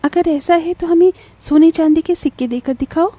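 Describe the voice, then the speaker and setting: A woman's voice: very high voice, moderate pace, warm tone. A woman playing a poor, earnest, hopeful village girl in a cartoon moral story, often plaintive. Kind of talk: animated moral story dialogue.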